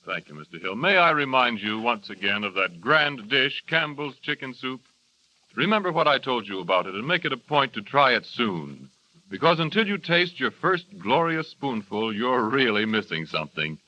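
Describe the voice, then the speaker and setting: A male announcer reads a scripted commercial, smooth and persuasive. His voice is forceful at a middling pitch, and the old broadcast sounds dull and muffled. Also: big pitch swings, moderate pace. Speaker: radio announcer reading an ad. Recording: dull vintage radio recording.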